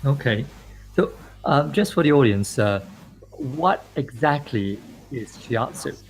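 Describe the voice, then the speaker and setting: A man from Malaysia hosting an online interview at a medium, ordinary pitch. He reads a formal introduction and then asks questions, hesitating and restarting often. There is faint ambient noise in the background. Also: animated, slow, vocal fry. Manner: formal, with frequent fillers and restarts. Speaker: host and interviewer.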